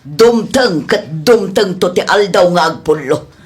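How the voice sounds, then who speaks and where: A woman playing a stern female village captain in a comedy drama, admonishing and questioning deliberately. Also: lowish voice, animated. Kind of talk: Ilocano comedy audio drama acting.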